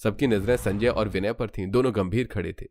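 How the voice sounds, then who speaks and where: A man's voice: lowish voice, a little fast, darkish tone. A man narrating a cartoon story in a scripted storytelling style.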